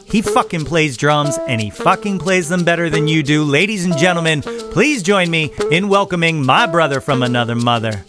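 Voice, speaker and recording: A man's voice: fairly high pitch, expressive, moderate pace, hardly any pauses, over music. A middle-aged American man hosting a podcast, effusive and affectionate, moving between scripted introduction and casual interview chat. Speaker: podcast host.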